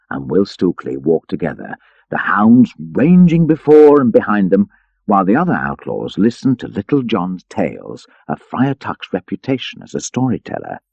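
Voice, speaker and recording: A man reading an adventure story as an audiobook narrator, in an expressive, theatrical storytelling manner. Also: low-pitched, gravelly, husky, no room sound, muffled recording.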